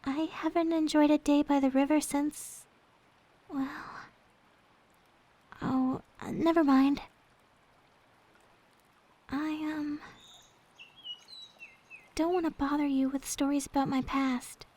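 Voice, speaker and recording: High, sweet-voiced gentle maid, acted by a woman. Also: little pitch movement, slow, pauses often. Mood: hesitant, wistful. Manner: soft, sweet, tender, hesitant when confiding. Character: gentle, devoted young maid with a sorrowful past. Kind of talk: ASMR roleplay.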